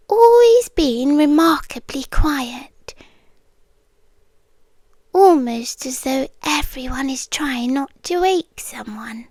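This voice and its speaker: A British woman playing an eerily calm, soothing doctor with a hidden possessive streak, in a soft, breathy, whispered ASMR roleplay, close to the mic. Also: high voice, dry recording, exaggerated voice. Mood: hushed, eerie. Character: softly menacing, falsely soothing female hospital doctor, possessive girlfriend. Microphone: close, dry ASMR microphone.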